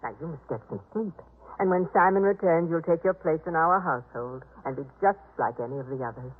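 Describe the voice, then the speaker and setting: An actress playing a kind, composed mistress of a biblical household in a 1950s radio drama, with a gentle, reassuring delivery. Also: female voice, deep voice, brisk, husky. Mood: firm, comforting. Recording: muffled, telephone-like 1950s radio recording.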